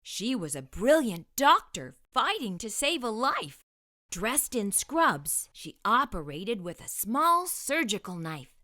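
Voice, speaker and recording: High, animated woman reading to children. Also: slow pace. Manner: careful, storytelling delivery. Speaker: children's read-aloud host. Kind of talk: expressive storybook narration for children.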